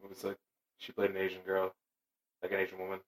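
A man's voice: sits low, monotone, fast talker, rough recording. A man in casual chat who meanders and searches for words as he talks.

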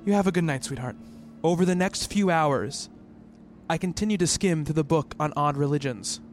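A man's voice: on the high side, normal speed, lightly acted. A man playing a worried, rational researcher in an eerie radio play, speaking as a thoughtful narrator and character over music. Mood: hesitant, concerned. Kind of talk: radio play dialogue and first-person narration.

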